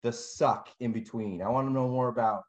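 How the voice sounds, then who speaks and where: A man's voice: mid-range voice, a little fast, crowd noise. A man co-hosting a podcast, asking long, rambling questions full of hedges. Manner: rambling, hedging questions with "kind of" and "you know". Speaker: podcast co-host. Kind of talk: podcast interview.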